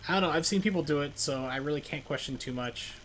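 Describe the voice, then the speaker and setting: A man's voice: fairly high pitch, fast talker, a bit bright, rough recording. A man livestreaming a video game with casual, joking, rambling commentary. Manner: wisecracking, rambling. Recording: faint game noise behind commentary.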